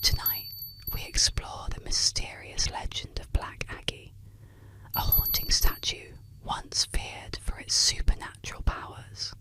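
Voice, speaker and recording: A woman narrating eerie legends as scripted ASMR in a hushed, deliberate, close-miked voice with gentle pauses. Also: poor audio. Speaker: ASMR host and storyteller. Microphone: close-up microphone.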